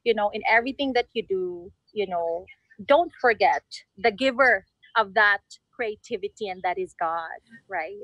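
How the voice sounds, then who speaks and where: A woman's voice: mid-range voice, animated, moderate pace, a bit bright, dry recording, muffled recording. A woman from the Philippines with a Filipino accent, talking casually and earnestly as an interview guest, often saying you know. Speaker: guest, creative designer.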